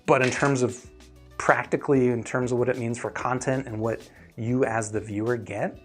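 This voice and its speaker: A man's voice: medium pitch, steady tone, normal speed. Casual male live-stream host with music behind. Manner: conversational, explanatory, often checking in with "right?".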